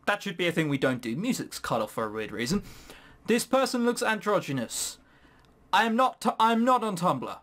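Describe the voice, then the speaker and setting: A man with very lively, swooping intonation gives casual let's play commentary as host. He reads on-screen story text and breaks off into sarcastic, incredulous asides. His pitch moves up and down a lot as he rambles and questions things. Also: a little fast. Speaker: host of a gaming video.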